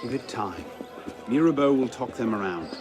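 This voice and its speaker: An actor playing a loyal young man, an assassin in a video game, speaking earnestly with a gently wry touch. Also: mid-range voice, expressive, moderate pace, over music, natural voice. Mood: reassuring. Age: young adult. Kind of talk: dramatic video game acting.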